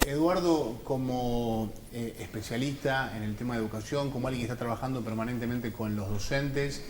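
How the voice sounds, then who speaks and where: A man's voice: mid-range voice, roomy sound, a little hiss or hum. A man, hosting and moderating a panel, asking questions with many hesitant fillers. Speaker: host.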